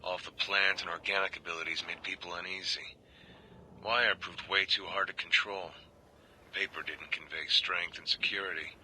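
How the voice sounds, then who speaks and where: Low-voiced weary government agent. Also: male voice, steady tone, moderate pace, bright, forceful tone, a little hiss or hum, rough recording. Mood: matter-of-fact. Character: world-weary male government agent secretly confiding troubled reports. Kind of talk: video game audio log monologue.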